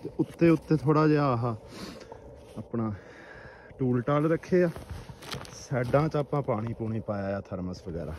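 A man with a Punjabi accent talks casually to viewers in an outdoor vlog. His voice sits at an average pitch. There is some faint noise in the phone-camera recording. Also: expressive, normal speed, pauses often, a little mellow. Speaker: vlogger. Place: outdoors in a snowy forest. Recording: noisy outdoor phone camera audio, clicks.

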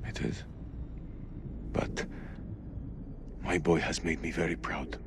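An actor playing a middle-aged, weary and devout soldier, solemn and heartfelt, in a cinematic video game. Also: male voice, very deep voice, little pitch movement, slow, noisy background. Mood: tender, weary. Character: weary, devout soldier and devoted father, sombre.

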